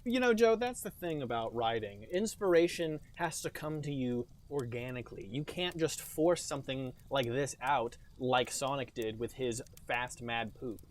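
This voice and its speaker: A man's voice: mid-range voice, expressive, moderate pace, hardly any pauses. A man hosting a comedy podcast, joking sarcastically in casual chat. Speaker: podcast host.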